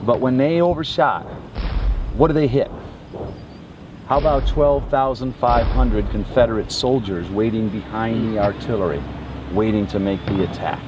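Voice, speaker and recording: A man working as a tour guide, telling a dramatic history story to tourists in deliberate, short phrases. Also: lowish voice, lo-fi sound. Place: outdoors on a battlefield. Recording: clear outdoor ambient noise.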